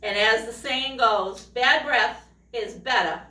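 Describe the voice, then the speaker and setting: Echoey, higher-pitched woman reading a storybook slowly. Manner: expressive, pausing to ask young listeners questions. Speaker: storytime reader, teacher. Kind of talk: reading a children's picture book aloud. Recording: echoey room, distant microphone.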